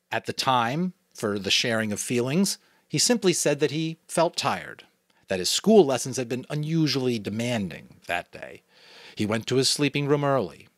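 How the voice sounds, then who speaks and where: A man with a New England American accent giving an expressive dramatic reading of a novel, with chatty asides to listeners. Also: on the low side, no room sound, clean studio sound. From New England, United States. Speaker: audiobook reader.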